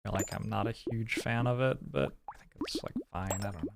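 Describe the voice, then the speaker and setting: A man's voice: on the low side, fairly quick, vocal fry, slight background noise. A man from New Zealand giving casual live gameplay commentary as a streamer, musing and chatting with viewers in a dry, rambling way. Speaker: video game streamer.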